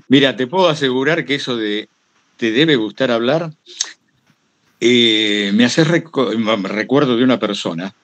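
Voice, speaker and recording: An older Argentine man speaking at a medium pitch as a guest at a talk. He tells anecdotes reflectively, rambling and halting as he goes. He often repeats a phrase to underline his point. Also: animated, fairly bright tone. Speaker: guest speaker, retired radio broadcaster.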